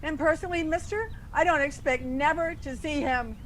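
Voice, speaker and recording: An actress playing a brash, wisecracking young hitchhiker in an old-time radio drama, with a casual, folksy, sassy way of talking. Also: female voice, high-pitched, normal speed, poor audio. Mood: alarmed, indignant. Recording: steady vehicle noise behind voice. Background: car ambience.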